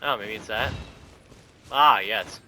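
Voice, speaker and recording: A man's voice: on the low side, slow, pushed and bright, slight background noise. A man giving casual commentary as he plays a video game. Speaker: gaming video host. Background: game music.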